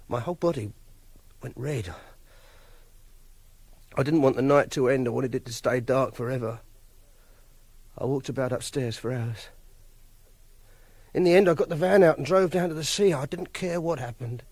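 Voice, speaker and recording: An actor playing a repressed, socially awkward young captor in a radio drama, halting and defensive. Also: male voice, medium pitch, a little mellow, husky, no echo. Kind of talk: radio drama dialogue and confessional narration.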